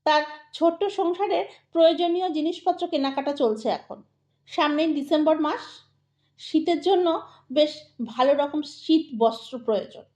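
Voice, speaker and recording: A woman narrating a scripted audio story in a deliberate, dramatic way. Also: high voice, a little mellow. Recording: echoey, reverberant voice track.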